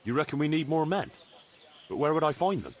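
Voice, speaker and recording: An actor playing a practical, firm and plain-spoken medieval bailiff in a video game. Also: male voice, fairly high pitch, expressive, a little fast, a little hiss or hum, natural voice. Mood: calm, questioning. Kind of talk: video game cutscene acting.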